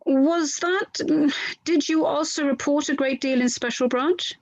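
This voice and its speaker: A woman reading the part of an inquiry lawyer from a transcript, courteous and methodical, slightly halting. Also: high-pitched, muffled recording. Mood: polite, methodical. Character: formal, polite inquiry lawyer questioning a witness.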